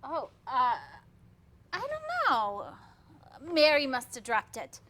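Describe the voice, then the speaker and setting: An actress playing a weary, evasive young housewife in a theatrical comedy reading, hesitant and flustered. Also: female voice, sits high, huge pitch swings, slow pace, bright, forceful tone, heavily acted. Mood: distracted, tired. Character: weary, evasive young 1960s housewife, sweet but frazzled.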